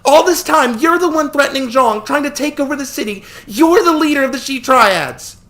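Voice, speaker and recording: A man voicing a frightened young girl pleading with her brother, high and tearful. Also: moderate pace, pushed and bright.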